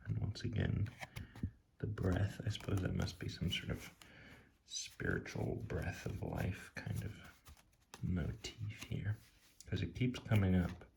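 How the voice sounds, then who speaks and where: A man speaking softly and casually in ASMR style, sometimes near a whisper, musing off the cuff as he reviews something. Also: deep voice, gravelly, a little hiss or hum. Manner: hushed, sometimes dropping to a whisper; casual, laid-back musing. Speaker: tarot deck reviewer. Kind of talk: soft-spoken ASMR, impromptu commentary.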